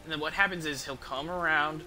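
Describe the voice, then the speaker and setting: A man's voice: on the high side, fairly quick, pushed and bright, faint music behind, rough recording. A man giving casual, rambling video game commentary, explaining things as he plays and swearing offhandedly. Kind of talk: casual gameplay commentary with tips.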